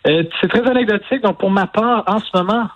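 A man speaking Quebec French as an interview guest and former political adviser, talking in a measured, hedging way with many restarts. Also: high voice, no room sound, lo-fi sound. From Portugal. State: recovering from illness. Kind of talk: phone-in news interview.

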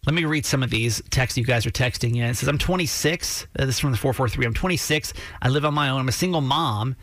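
A man's voice: mid-range voice, fast, a bit bright, dry recording. A man in his late thirties co-hosting a morning radio show, chatting casually and reading listener texts with easy laughs. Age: late 30s. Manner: laid-back, laughs easily. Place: radio studio. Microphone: studio mic.

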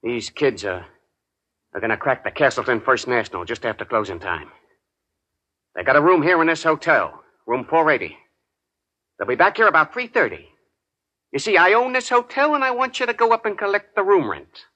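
An actor playing a bullying, domineering small-time crime boss in a 1940s radio drama. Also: male voice, fairly high pitch, sing-song, moderate pace, long pauses, bright, forceful tone, muffled recording, full character voice. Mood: businesslike, commanding. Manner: needling, domineering, menacing. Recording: vintage 1940s radio broadcast.

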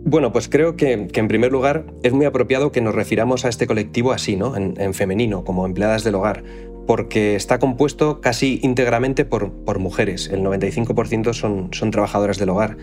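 A man's voice: on the low side, brisk, darkish tone, soft background music. Man patiently explaining labor figures. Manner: careful, explanatory, with fillers. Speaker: economics reporter. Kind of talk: explanatory interview.

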